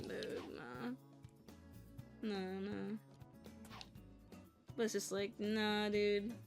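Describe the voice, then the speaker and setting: A woman's voice: on the high side, fairly bright tone. A woman in her late twenties talking casually and frankly while she plays a game. She vents with a lot of swearing and nervous laughter. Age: 20s. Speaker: content creator. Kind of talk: casual candid talk while gaming.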